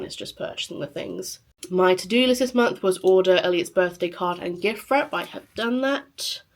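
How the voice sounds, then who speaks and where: A woman with a sore throat and a scratchy, quiet voice, chatting casually to camera in a rambling vlog. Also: fairly low pitch. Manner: chatty, rambling, lots of fillers. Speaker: lifestyle vlogger.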